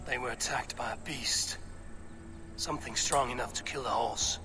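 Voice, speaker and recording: An actor playing a stoic, blunt Viking warrior with a measured manner in a video game. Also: male voice, medium pitch, music in the background, lightly acted. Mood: grave. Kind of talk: video game cutscene acting. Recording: clear background noise.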